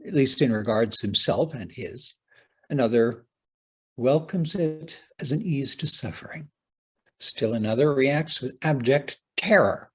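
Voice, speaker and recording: A man with a husky voice giving a prepared talk as a playwright, speaking deliberately and theatrically with dramatic pauses. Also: medium pitch. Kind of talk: prepared conference lecture, read aloud with dramatic phrasing.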